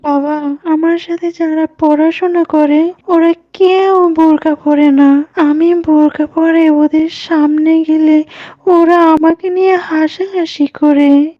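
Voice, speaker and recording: A woman's voice: sits high, steady tone, takes it slowly, dry recording. A woman playing a respectful young student daughter in an Islamic moral cartoon, on a dry, slightly dull recording. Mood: reluctant.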